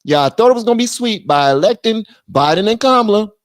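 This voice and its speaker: A man's voice: high voice, fairly quick, no room sound, clean studio sound. A man hosting a livestream of political commentary, talking bluntly with slang and slipping into mock voices. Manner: animated, drops into mock voices. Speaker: livestream host.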